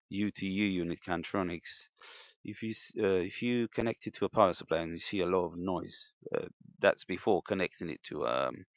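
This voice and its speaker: A man giving an unscripted hands-on equipment demonstration as a hobbyist, thinking aloud in a hesitant, halting way. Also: sits low, creaky. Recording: dull, lo-fi, echoey room sound.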